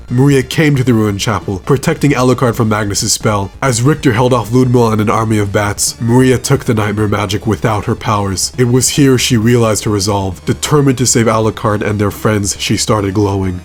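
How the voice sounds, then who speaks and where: A man narrating a scripted video essay, recapping a story in a steady, even storytelling manner. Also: on the low side.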